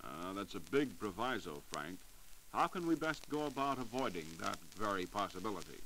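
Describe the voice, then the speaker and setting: Low-voiced American man hosting old-time radio. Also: sing-song, moderate pace, pushed and bright, low-quality recording. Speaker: radio host. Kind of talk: scripted 1940s radio interview. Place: radio studio. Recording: hissy 1940s radio recording.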